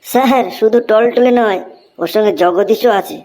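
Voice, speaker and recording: A woman's voice: fairly high pitch, normal speed, dark tone, lightly acted, poor audio. Woman voicing a cheeky cartoon schoolboy, faint noise. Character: cheeky schoolboy, wisecracking pupil in comic cartoon. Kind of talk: Bengali comedy cartoon dubbing.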